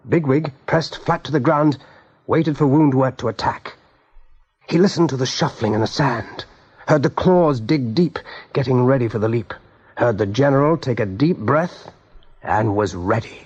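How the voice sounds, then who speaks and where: A man's voice: mid-range voice, expressive, measured pace, long pauses, a little mellow, husky. A man narrating a radio drama as a storyteller, with vivid, suspenseful delivery. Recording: dull 1980s radio recording.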